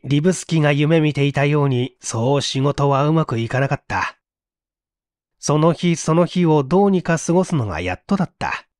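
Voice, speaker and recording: A man reading a literary mystery story aloud in an expressive, theatrical storytelling manner. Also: a little high, dry recording, clean studio sound. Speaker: reader of audio stories.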